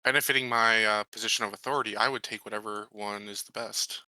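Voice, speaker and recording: A man's voice: on the low side, steady tone, fast talker, bright, forceful tone, dry recording, rough recording. A man playing a tabletop roleplaying game, chatting casually with the group.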